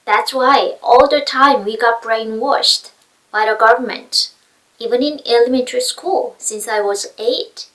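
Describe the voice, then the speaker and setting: Korean accent woman telling her own story, slow n halting english, kinda far from the mic. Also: on the high side, a bit bright. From North Korea. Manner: halting, non-native English with searched-for words. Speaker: speaker telling their personal story. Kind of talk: personal testimony talk, unscripted.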